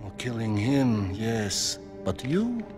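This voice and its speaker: An actor playing a ruthless middle-aged warlord in a video game, with a cold, theatrical, menacing way of speechifying. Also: male voice, on the low side, very animated, takes it slowly, music in the background. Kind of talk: dramatic video game acting.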